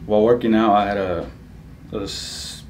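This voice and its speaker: A man's voice: lowish voice, fairly even intonation, moderate pace, darkish tone. A man in his twenties giving casual, brief and slightly hesitant answers as a patient. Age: 20s. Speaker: patient, security guard. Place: small office treatment room. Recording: echoey office, faint background noise.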